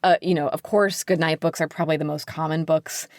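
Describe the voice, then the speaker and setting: A woman's voice: low voice, steady tone, a little fast, dry recording, studio quality. A woman talking casually as an interview guest, explaining things in a chatty way with lots of "like" and "sort of". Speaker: podcast guest, children's book editor.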